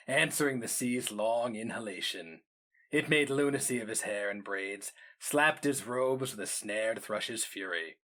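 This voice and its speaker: A man giving a dramatic literary reading of fantasy, solemn and measured, with deliberate pauses and theatrical weight. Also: mid-range voice, sharp tone. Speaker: story narrator.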